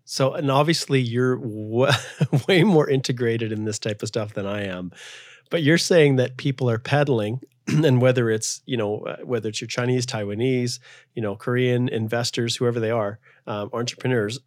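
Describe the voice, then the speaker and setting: A man's voice: medium pitch, moderate pace, no room sound, studio quality. Chatty man hosting a podcast. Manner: chatty, rambling with restarts. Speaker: podcast host, immigration lawyer. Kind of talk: casual interview chat.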